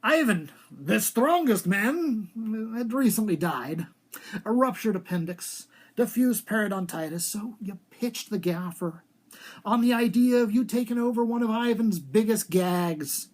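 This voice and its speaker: A male author at a live reading. He has a theatrical storyteller's delivery and places clear emphasis on his phrases. The voice is that of a writer presenting his own fiction aloud. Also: high-pitched, expressive, unhurried, a little mellow. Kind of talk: live dramatic literary reading.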